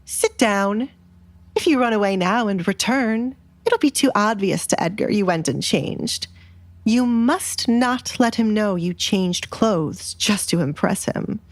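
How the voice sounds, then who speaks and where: A woman's voice: mid-range voice, lively intonation, measured pace, dry recording. A woman voicing a stern, scheming middle-aged noblewoman, commanding and controlled, in an audio drama. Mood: stern, instructing.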